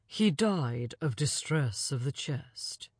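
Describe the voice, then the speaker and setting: Older male envoy, sorrowful and courteous, low and hushed, voiced by a woman. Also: lively intonation, slow, breathy edge, no room sound, studio quality, exaggerated voice. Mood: grave. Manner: grave, hushed, formal.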